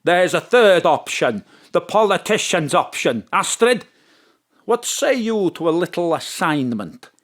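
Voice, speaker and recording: A man playing a devious, blustering president with a pompous, deliberate manner in an audio drama. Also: high-pitched, very animated, fairly bright tone, full character voice. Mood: sly, deliberate.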